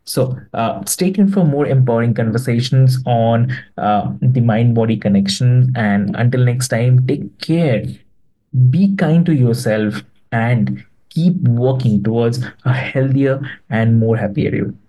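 A man's voice: mid-range voice, measured pace, warm tone, low-quality recording. A man hosting a podcast interview, speaking hesitantly with many fillers and restarts. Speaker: podcast host.

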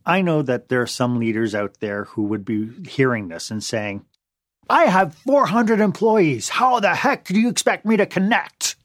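A man's voice: fairly high pitch, expressive, normal speed, no room sound, clean studio sound. A man hosting an interview, asking questions and reacting with enthusiasm. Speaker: interview host. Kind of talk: conversational interview.

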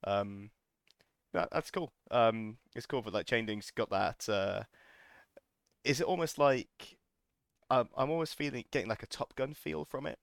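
A man hosting a casual interview, relaxed and jokey, with plenty of ums and restarts. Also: mid-range voice, a little slow, gravelly, dry recording, clean studio sound. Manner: relaxed, jokey, many fillers. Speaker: podcast host.

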